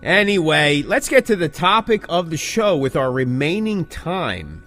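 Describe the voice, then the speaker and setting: Animated higher-voiced man, podcast host. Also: a little slow, faint music behind. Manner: rhetorical questions, punchy repeated phrases. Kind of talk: casual opinionated chat.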